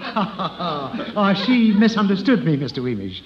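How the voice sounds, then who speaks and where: A flustered suburban dad in old radio comedy, with a highish voice that swoops about. He is excitable and eager to please, on a muffled recording. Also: a little slow, crowd noise. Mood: flustered, ingratiating. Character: exasperated, flattering suburban father eager to please. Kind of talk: 1950s radio comedy acting.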